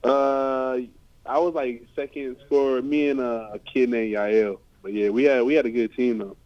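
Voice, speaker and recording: A man in his twenties from the United States speaks at a middle pitch over a slightly dull, lo-fi call. He is a football player answering questions informally, leaning often on "you know" and "like.". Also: steady tone, moderate pace. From United States (California and Ohio). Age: 20s. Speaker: American football player, linebacker. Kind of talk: casual press interview answers. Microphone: remote conference call. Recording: dull, lo-fi conference call audio.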